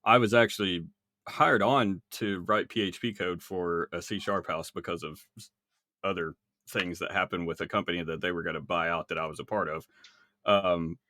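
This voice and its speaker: A man's voice: low-pitched, fairly even intonation, normal speed, pushed and bright, no echo. A man co-hosting a casual podcast, blunt and joking as he talks. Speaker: podcast co-host.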